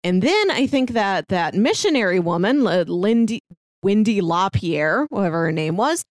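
A woman's voice: medium pitch, very animated, moderate pace, no room sound. Woman chatting casually in dry, close sound. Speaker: podcast co-host discussing an audio drama. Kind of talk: casual chat, recapping a story.

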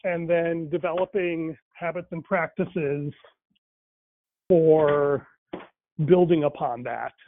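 A man's voice: fairly high pitch, steady tone, takes it slowly, pauses often, slight background noise. A man whose first language is Tamil talks casually about faith and language, thinking aloud with many fillers and restarts. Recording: tinny phone-like line, dry.

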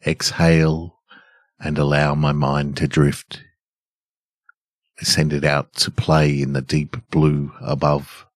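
A man's voice: a bass voice, no room sound. A man hosting a podcast and reading his own writing, recorded in a home studio. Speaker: podcast host, author and reader. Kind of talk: casual podcast chat and measured story reading. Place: home studio in a converted room.

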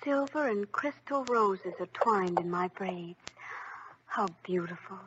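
A woman's voice: mid-range voice, lively intonation, takes it slowly. An actress playing a sweet, wide-eyed young girl in an old-time radio fairy tale, full of breathless exclamation. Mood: awed. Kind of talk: old-time radio children's drama acting. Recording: muffled, noisy vintage radio recording.

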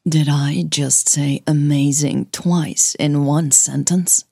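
A woman's voice: deep voice, steady tone, slow pace, gravelly, mellow, slightly rough, no echo, clean studio sound, exaggerated voice. A woman voicing an insecure woman in love who hides her hurt in an audio drama, restrained and self-doubting. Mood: self-mocking.

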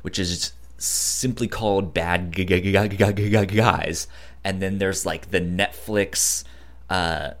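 Low-pitched, slow man with a stutter. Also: steady tone. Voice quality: frequent stutter, repeating syllables and blocking on words. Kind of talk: casual podcast chat, giving recommendations.

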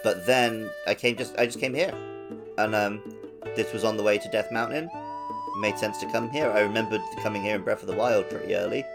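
A man speaks in a low voice with plenty of creak as he chats casually as a podcast co-host. Loud plucked-guitar music runs behind him. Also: brisk. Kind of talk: casual gaming podcast chat. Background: prominent plucked-guitar music.

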